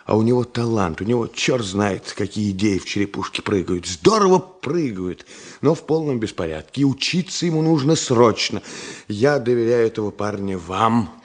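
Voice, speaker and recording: A man's voice: lowish voice, animated, moderate pace. An actor playing an impulsive, big-hearted engineer in a Soviet radio drama, coaxing insistently and repeating his words. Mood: earnest, persuasive.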